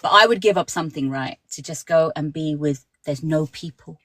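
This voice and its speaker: A woman's voice: low voice, moderate pace, a bit bright. A woman from near Birmingham in England, chatting casually as a guest on a live stream. She laughs often and drops in British slang. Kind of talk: casual chat.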